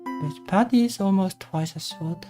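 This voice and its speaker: Slow, hesitant woman thinking aloud over music. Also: lowish voice. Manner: hesitant, muttering to self in short fragments. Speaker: game developer livestreamer. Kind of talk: casual thinking aloud while working on stream. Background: music playing behind the voice.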